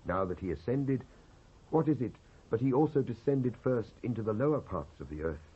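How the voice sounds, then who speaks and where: A British man giving a solemn, declamatory dramatised scripture reading in measured phrases with pauses. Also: medium pitch, animated, husky. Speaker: Bible reader, actor. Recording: dull lo-fi cassette transfer.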